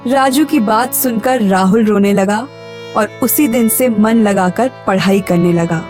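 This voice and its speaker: A woman's voice: medium pitch, unhurried, a little mellow, soft background music. A woman narrating a scripted moral story for a cartoon.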